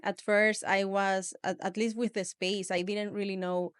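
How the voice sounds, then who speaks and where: A woman from Venezuela with a Venezuelan Spanish accent, a studio founder speaking as a guest in a casual interview, relaxed and loose, with lots of "like" fillers. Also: medium pitch, moderate pace, clean tone. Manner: relaxed, many "like" fillers, plain non-native phrasing. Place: small podcast studio.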